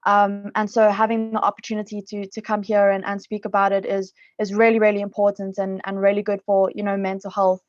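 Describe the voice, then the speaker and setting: An upbeat woman from South Africa chats as a podcast guest, filling her sentences with "you know" and "um." Her voice sits at an ordinary pitch, close and dry, with a slightly dull, lo-fi sound. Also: monotone, fairly quick, few pauses, no room sound. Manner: chatty, upbeat, many fillers and restarts. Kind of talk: casual interview talk.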